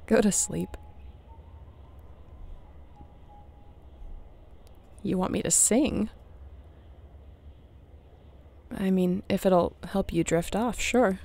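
Woman voicing a young female apocalypse survivor, audio roleplay, slow pace, gravelly creak, tender, teasing, intimate. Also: fairly low pitch, warm tone. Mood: soft, affectionate. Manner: unhurried, intimate, playful.

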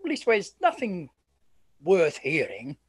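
A weary old sergeant major voiced by an actor in a radio play, guarded and ominous. The phrasing is courtly and old-fashioned, the pitch moves widely, and the sound is dry and close. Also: huge pitch swings, slow, slightly rough, no room sound, exaggerated voice. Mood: reluctant, ominous.

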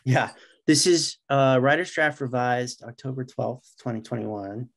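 A middle-aged man speaks as a guest on a video-call interview. His voice sits at an average pitch, and he talks informally, like a writer explaining his work. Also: unhurried. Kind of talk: casual interview chat. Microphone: video call microphone.

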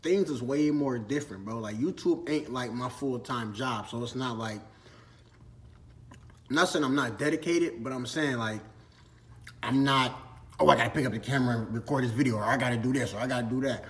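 A man's voice: mid-range voice, a little fast, fairly bright tone. A man in his twenties from Minnesota, chatting casually through a Q&A vlog while eating, filmed on a phone in a storage room. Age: 20s. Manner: laid-back slangy chat, eating while talking. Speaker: vlogger. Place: storage room with shelves and ladders, indoors.

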